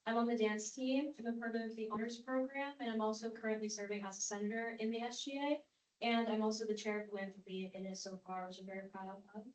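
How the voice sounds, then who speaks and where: A young woman giving a measured, well-rehearsed statement as a student candidate. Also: fairly high pitch, steady tone, roomy sound, low-quality recording. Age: young adult.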